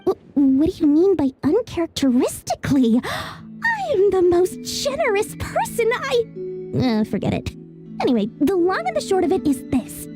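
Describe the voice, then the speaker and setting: A woman's voice: very high voice, full character voice. A woman voicing a greedy, chatty young female merchant in a video game, talking in a theatrical, salesy way. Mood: flustered, then salesy, enthusiastic. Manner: theatrical, salesy, self-promoting. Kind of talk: video game character acting. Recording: music under the voice.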